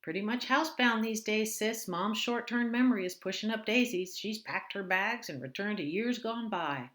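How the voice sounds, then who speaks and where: A woman's voice: mid-range voice, moderate pace, fairly bright tone, voice pushed up, close to an everyday voice. A woman voicing an odd, blunt adult sister who talks in clipped, sing-song phrases and stresses the wrong words. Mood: flat blunt.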